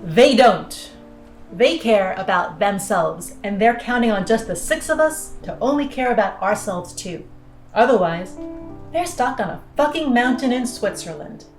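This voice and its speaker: A woman's voice: a little high, animated, measured pace. A woman playing a cynical, defiant astronaut in an audio drama, blunt, sardonic and persuasive, plotting an escape. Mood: blunt, bitter. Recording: roomy sound with music underneath.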